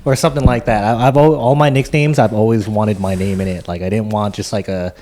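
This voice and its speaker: A man's voice: fairly low pitch, steady tone, brisk, dark tone. A man co-hosting a podcast and talking as a DJ in casual, slangy, earnest chat peppered with swearing. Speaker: podcast co-host and DJ.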